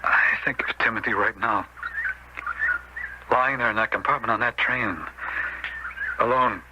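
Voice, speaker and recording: A man's voice: mid-range voice, steady tone, unhurried, background noise, lightly acted. An actor playing a grave, bitter man stricken with guilt over his brother in a radio drama. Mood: anguished guilt.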